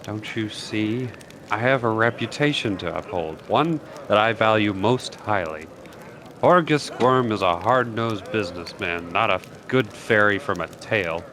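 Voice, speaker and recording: A man's voice: fairly low pitch, lively intonation, unhurried, noisy background. A man voicing a proud, hard-nosed wealthy merchant in a video game, speaking in a formal, indignant manner. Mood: haughty, indignant. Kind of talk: reading video game dialogue aloud. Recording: loud music under the voice.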